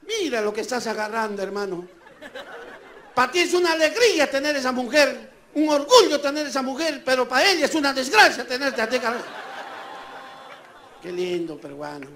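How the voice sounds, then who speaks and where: High-pitched Peruvian man doing stand-up. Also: animated, moderate pace, bright, forceful tone. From Peru. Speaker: stand-up comedian. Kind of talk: stand-up comedy with audience banter. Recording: live show with crowd and background noise. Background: audience ambience.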